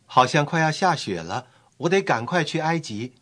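A male actor playing a small, kindhearted, loyal swallow in a fairy-tale drama, speaking in an earnest, soft-hearted way. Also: a little high, animated, moderate pace. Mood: eager. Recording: faint music bed. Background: faint music.

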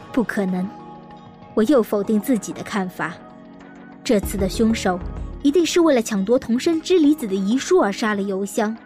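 An actress playing a troubled woman seeking revenge, narrating an audio drama in a deliberate, introspective way. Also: mid-range voice, dark tone, natural voice.

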